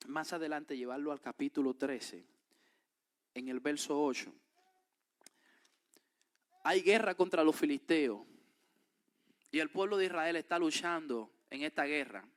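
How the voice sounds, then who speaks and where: Dominican male preacher, high-pitched, sermon with scripture readings. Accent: Dominican Spanish. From Dominican Republic. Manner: reads scripture aloud, then explains it with emphatic rises.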